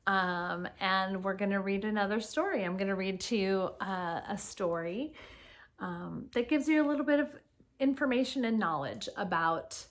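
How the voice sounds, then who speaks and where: A woman teacher reading a picture book aloud to children, explaining things and speaking to her young listeners directly with casual asides. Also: mid-range voice.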